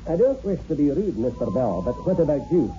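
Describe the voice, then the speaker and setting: An actor playing an urbane country doctor in a 1960s radio horror play, polite, measured and a little condescending. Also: male voice, a little high, huge pitch swings, warm tone. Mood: suave. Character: urbane, speculative male village doctor, a bachelor. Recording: muffled, faintly noisy old radio recording.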